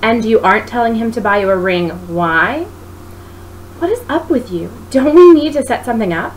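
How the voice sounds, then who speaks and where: A woman's voice: fairly high pitch, animated, moderate pace, close to an everyday voice. A woman voicing a nosy, teasing best friend who is a young mother, in a story reading. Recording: noisy home recording.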